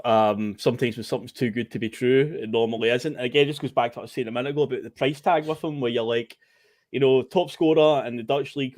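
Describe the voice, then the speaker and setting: A man's voice: medium pitch, darkish tone, no echo. A Scottish man co-hosting a football podcast, chatty and full of ums. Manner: quick, chatty, lots of ums. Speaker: podcast co-host. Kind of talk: casual football chat.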